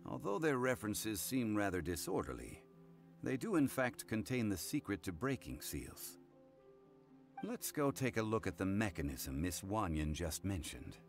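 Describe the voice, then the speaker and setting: Game role: learned, composed gentleman, very low register, formal measured delivery with expressive intonation. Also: creaky, soft background music. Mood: composed, solemn. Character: calm learned gentleman consultant recounting old legends. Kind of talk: English video game voice acting.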